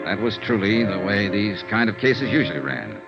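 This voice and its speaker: An American actor playing a brisk, wry insurance investigator in clipped, vintage radio-drama style. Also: male voice, fairly low pitch, expressive. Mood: dry narration. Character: brisk, assured male insurance investigator, wry and hard-boiled. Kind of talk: 1950s radio acting, first-person detective narration and dialogue. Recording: dull vintage radio recording, music behind.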